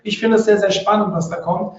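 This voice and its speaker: Brief: male webinar host with a cold, high voice, distant echoey lo-fi sound. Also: steady tone. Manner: chatty, halting, many fillers. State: has a cold, coughing. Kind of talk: hosting a live webinar.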